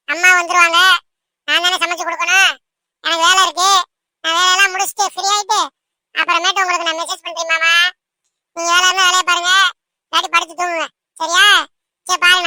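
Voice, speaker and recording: A woman's voice: very high voice, flat delivery, quick pace, long pauses, sharp tone, no echo, clean studio sound, exaggerated voice. A woman voicing a caring, gossipy young bride-to-be in a cartoon, chatty and emphatic. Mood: soothing. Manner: chatty, emphatic, repeatedly seeking agreement. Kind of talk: amateur cartoon dialogue in colloquial speech.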